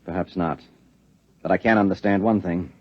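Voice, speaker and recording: A secretive, menacing American husband in a fifties radio thriller. His voice is low, clipped and controlled, slightly rough, on a dull old recording. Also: fairly even intonation, normal speed. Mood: cold menace. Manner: curt, controlled, threatening. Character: menacing, secretive husband interrogating with cold suspicion. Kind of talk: 1950s American radio drama acting. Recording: muffled vintage radio recording.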